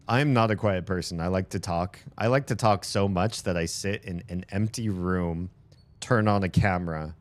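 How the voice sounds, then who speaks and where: A very deep-voiced man talks slowly and loosely to his live-stream audience, wandering from thought to thought and restarting sentences. He records alone in a room at home, and music plays clearly behind him. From United States. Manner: rambling, chatty, self-correcting. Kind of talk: casual live-stream chat.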